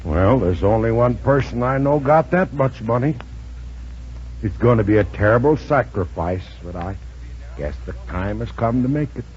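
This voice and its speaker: A man's voice: medium pitch, lively intonation, lightly acted. An American actor playing a bumbling, wheedling sea captain in a radio comedy, stammering and gushing at his sweetheart. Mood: flustered. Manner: stammering, drawn-out hesitations. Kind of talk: 1940s radio comedy acting. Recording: muffled old radio broadcast recording.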